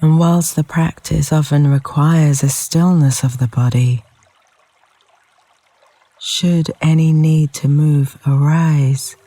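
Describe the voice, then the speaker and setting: A man leading a guided yoga nidra meditation in a gentle, soothing, unhurried manner with long pauses between phrases. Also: a little high, steady tone, no echo. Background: flowing river water sounds.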